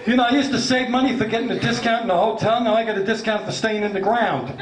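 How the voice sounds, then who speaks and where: A man in his late fifties with a New York accent works a comedy club stage, brash and swearing, mocking himself. His voice sits high, and the room rings back around him. A loud wash of noise runs underneath. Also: steady tone, fast talker, reverberant. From Long Island, New York. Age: 50s. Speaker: stand-up comedian. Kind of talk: stand-up comedy set. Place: comedy club stage before a laughing audience.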